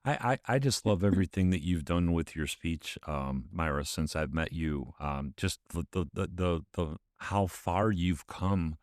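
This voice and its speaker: A man hosting a casual interview, encouraging his guest in a halting way, restarting and repeating words. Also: deep voice, dry recording, clean studio sound. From Michigan. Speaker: podcast host.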